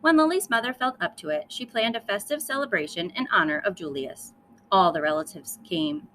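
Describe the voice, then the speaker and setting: A woman reading a children's story aloud in an expressive style with dramatic emphasis. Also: medium pitch, a little fast, a little hiss or hum. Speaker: storybook reader.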